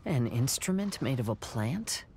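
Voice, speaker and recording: A man playing a calm, gentle, reflective young wanderer with a measured, soft-spoken manner in a video game. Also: mid-range voice, a little hiss or hum. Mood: attentive.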